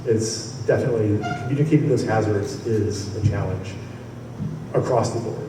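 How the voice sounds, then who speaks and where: A man speaks in a conference panel, his voice distant and caught in a big echoey hall. He rambles through his answer, restarting phrases and chuckling now and then. A steady wash of room noise sits under him. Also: lowish voice, normal speed. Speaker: weather service forecaster on a panel. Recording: echoey hall from far off, noisy.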